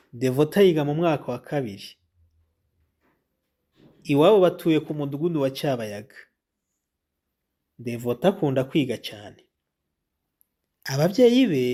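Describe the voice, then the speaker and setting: A man with a Rwandan accent reading a children's story aloud as a teacher, in a deliberate, instructive manner for young learners. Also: on the high side, warm tone. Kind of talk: read-aloud lesson with comprehension questions.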